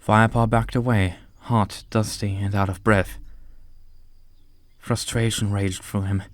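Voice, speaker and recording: A man's voice: lowish voice, level tone, unhurried, no room sound. A man narrating an audiobook story from a script.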